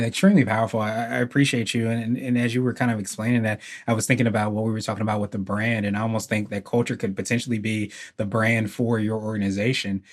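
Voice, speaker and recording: A man hosting an interview who keeps affirming his guest and restating the guest's points at length. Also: fairly low pitch. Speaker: podcast host. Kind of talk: conversational interview.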